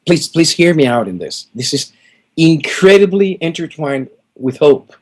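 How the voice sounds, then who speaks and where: A man's voice: fairly high pitch, expressive, unhurried. A middle-aged man from Venezuela, a guest musician answering interview questions in an earnest, emphatic way, repeating words for stress.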